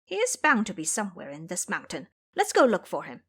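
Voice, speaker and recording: A woman voicing a bold, combative male monkey disciple in an audio drama, commanding and excitable. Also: mid-range voice, a little fast, slightly rough, heavily acted.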